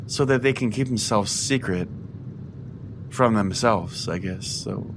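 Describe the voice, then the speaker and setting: A man's voice: fairly low pitch, measured pace, noisy background. A man playing a self-important, foul-mouthed conspiracy pastor, rambling earnestly as if hosting his own comedy podcast. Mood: earnest, conspiratorial. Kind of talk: mock podcast monologue, comedic storytelling.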